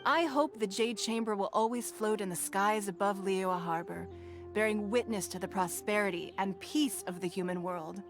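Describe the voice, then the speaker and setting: A woman playing a poised, shrewd and wealthy lady leader in a video game, speaking formally with measured authority. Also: medium pitch, over music, natural voice. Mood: stately.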